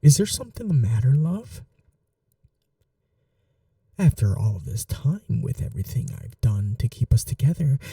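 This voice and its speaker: Dark, velvety scheming prince, acted by a man. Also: mid-range voice, vocal fry, no room sound, recorded from a distance, exaggerated voice. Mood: tender, possessive. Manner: soft-spoken, deliberate, formal, coldly menacing tenderness. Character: scheming evil young prince, possessive devoted lover. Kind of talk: ASMR romantic fantasy roleplay monologue.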